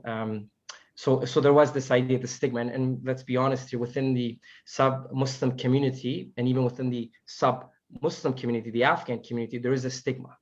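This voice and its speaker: A man whose native language is Farsi, answering earnestly on an online panel, haltingly and often repeating his phrases. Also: medium pitch. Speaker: panelist, family advocate. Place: online video call. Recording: dull, lo-fi call audio.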